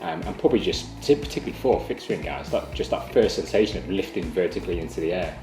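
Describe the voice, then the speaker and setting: A man with a deep voice talks quickly as a flying instructor, explaining in an informal interview. The room is echoey and music plays clearly behind him. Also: expressive.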